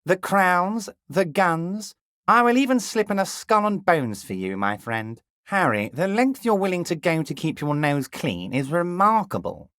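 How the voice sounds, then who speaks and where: An actor voicing a jovial, scheming middle-aged union boss in a video game, smooth and ingratiating, dropping low and soft on pet names, crude at times. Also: male voice, sing-song, measured pace, dry recording, clean studio sound, exaggerated voice. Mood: smug, ingratiating. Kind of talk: video game character dialogue.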